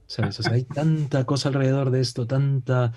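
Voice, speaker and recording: A man's voice: medium pitch, fairly even intonation, moderate pace, a little mellow, slight background noise. A man from Peru with a Peruvian Spanish accent, a talk-show guest speaking in a reflective way with many hesitations and rhetorical questions. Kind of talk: casual talk-show discussion.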